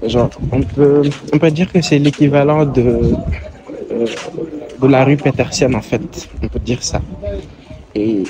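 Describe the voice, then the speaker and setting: A man's voice: medium pitch, fairly even intonation, a little slow, poor audio. A young man casually narrating a travel vlog while walking through city streets, thinking aloud with hesitant restarts. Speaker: travel vlogger. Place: busy city streets outdoors. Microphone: handheld camera while walking. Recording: outdoor street noise. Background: street and traffic noise.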